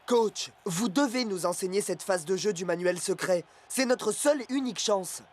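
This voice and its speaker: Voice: medium pitch, normal speed, lightly acted. An actor voicing an eager, earnest young male football player in a French-dubbed cartoon, with urgent delivery.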